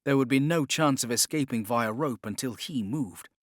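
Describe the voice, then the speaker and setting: A man's voice: medium pitch, normal speed, no room sound, studio quality. A man narrating an audiobook in a scripted, dramatic storytelling style.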